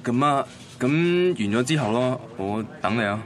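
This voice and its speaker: A man's voice: mid-range voice, lively intonation, moderate pace, natural voice. An actor playing a heartbroken young man recounting his first love in a radio drama, wistful and self-deprecating, with a Hong Kong Cantonese accent. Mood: pleading, hopeful. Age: young adult. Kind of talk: radio drama narration and dialogue.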